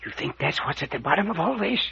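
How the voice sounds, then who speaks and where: An actor playing a stubborn, crotchety old rustic mayor with a cracked, raspy voice in a vintage radio mystery serial. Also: male voice, fairly high pitch, sing-song, quick pace, bright, forceful tone, slight background noise, full character voice. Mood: suspicious. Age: older. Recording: muffled old radio recording.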